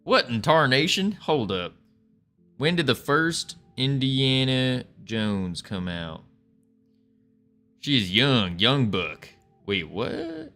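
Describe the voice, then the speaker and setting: A man's voice: medium pitch, animated, slow pace, bright, forceful tone, soft background music. A young man chatting casually as a livestreamer, putting on an American Southern accent with playful, folksy cowboy talk. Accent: put-on American Southern. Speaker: live streamer chatting with viewers.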